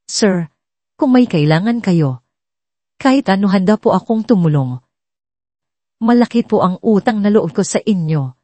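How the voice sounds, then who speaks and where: Woman reading as humble grateful woman, mellow voice. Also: medium pitch, lively intonation, a little slow, pauses often, no room sound. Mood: earnest. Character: grateful, humble young woman, respectful and eager to help.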